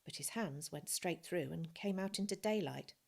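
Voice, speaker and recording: A woman's voice: fairly low pitch, normal speed, creaky, darkish tone. A woman narrating a children's novel as a scripted reading.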